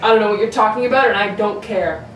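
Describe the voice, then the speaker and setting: A woman's voice: mid-range voice, fast, a bit bright, close to an everyday voice. A woman playing a hostile, dismissive male masked vigilante in a 1940s radio serial reading. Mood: defiant. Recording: roomy, distant microphone with faint noise.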